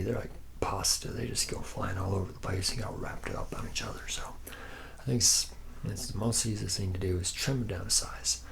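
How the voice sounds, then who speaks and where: A man's voice: on the low side, gravelly, fairly bright tone, a little hiss or hum. A man playing a laid-back, boozy, womanizing factory worker from the future in an ASMR roleplay, rambling slangily and often dropping into a whisper.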